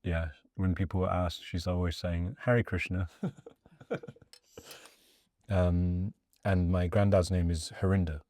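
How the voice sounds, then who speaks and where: A man's voice: low voice, steady tone, slow, pauses often, darkish tone, dry recording. A man from Wales hosting a casual interview over a meal, talking in an informal, conversational way. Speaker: interviewer and host serving food.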